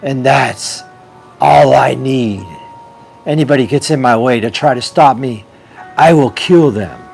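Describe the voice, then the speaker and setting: A man's voice: medium pitch, a little slow, vocal fry, darkish tone, faint music behind. A man voicing a demon-possessed male officer grown into a giant, taunting and menacing. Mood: gloating menace.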